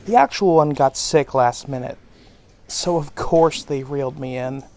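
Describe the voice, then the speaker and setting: A man's voice: medium pitch, measured pace, darkish tone, close to an everyday voice. A man playing a laid-back, teasing male English professor who chats easily with a colleague. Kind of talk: audio drama acting.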